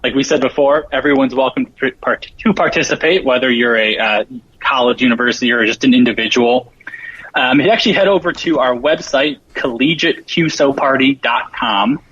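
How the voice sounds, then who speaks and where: A man's voice: mid-range voice, moderate pace, fairly bright tone, slightly rough, lo-fi sound. A man speaking as a guest, explaining things in a casual, informative way. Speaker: guest, event organizer.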